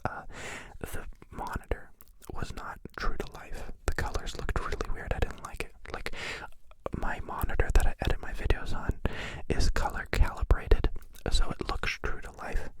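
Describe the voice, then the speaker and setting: A young man hosting an ASMR livestream in a close-miked whisper, chatting playfully and joking with viewers. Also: moderate pace. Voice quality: raspy when soft speaking. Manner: playful, joking, answering chat questions.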